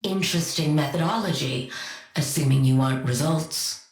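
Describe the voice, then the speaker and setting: A woman's voice: deep voice, normal speed, darkish tone. A brilliant, arrogant villainess scientist from a video game. She speaks in a dry, clipped way, with condescending academic wit. Every line sounds sardonic and sure of her own superiority. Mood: cool, analytical. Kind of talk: scripted video game character lines.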